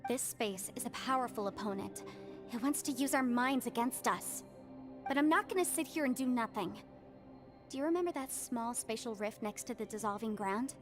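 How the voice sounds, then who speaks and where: A woman performs a confident, quick-witted young heroine from a fantasy game. Her voice sits fairly high and she lays out her reasoning earnestly and clearly. Soft music plays underneath. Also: lively intonation, lightly acted. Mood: serious, determined. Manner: articulate, earnest explaining. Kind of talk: scripted video game voice acting.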